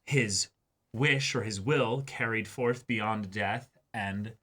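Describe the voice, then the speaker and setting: A man's voice: lowish voice, takes it slowly, pushed and bright. A man co-hosting a reaction show, talking casually and wordily as he analyses an anime episode.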